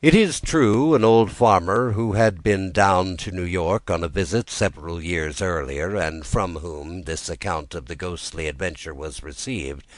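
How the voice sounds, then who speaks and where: A man narrating a classic story as a soothing bedtime audiobook, in a gentle and measured manner. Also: low voice, dry recording.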